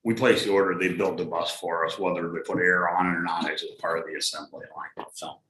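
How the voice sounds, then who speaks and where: A man's voice: low-pitched, fairly even intonation, a little fast, fairly bright tone. A man answering questions as a transportation staff member at a meeting, plain and matter-of-fact. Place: indoor board meeting room. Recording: echoey meeting room with faint noise.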